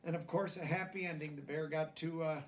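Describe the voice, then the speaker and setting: High-voiced man reading a storybook, distant mic. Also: steady tone, slight background noise. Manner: deliberate reading broken by softer, plain-worded explanations. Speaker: storybook reader. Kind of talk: children's picture book read-aloud with simple explanatory asides.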